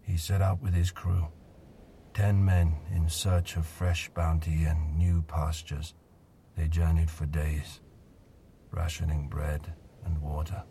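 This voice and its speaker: An actor playing a weary old man telling a haunting tale in an audio drama, measured, hushed and solemn. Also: rumbling low, little pitch movement, creaky, a little hiss or hum, heavily acted. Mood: grave, haunting. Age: older.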